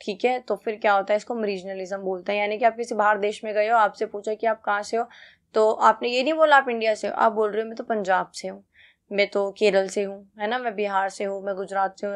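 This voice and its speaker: A woman with an Indian accent teaching an exam lesson, reading out points and explaining them conversationally with frequent check-in tags and rhetorical questions. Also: mid-range voice. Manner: mixes Hindi and English. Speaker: teacher, exam-prep tutor.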